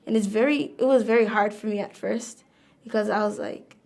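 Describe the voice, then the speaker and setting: A woman's voice: mid-range voice, fairly even intonation, fairly quick, darkish tone. A young woman, a student, chatting about a hobby and acting out what she and her friends said. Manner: chatty, acts out quotes. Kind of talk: casual interview answer.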